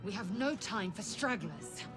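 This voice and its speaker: A woman's voice: mid-range voice, animated, unhurried, natural voice. A woman playing an imperious, impatient alien warrior in a video game, curt and commanding. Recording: loud game music behind.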